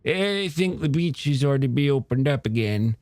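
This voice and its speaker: A man's voice: mid-range voice, lively intonation, normal speed, darkish tone, no room sound, clean studio sound, pitched above the performer's own voice, natural voice. A man voicing a gossipy, folksy male small-town shopkeeper in an audiobook, speaking in a hesitant, stammering way. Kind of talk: audiobook character dialogue.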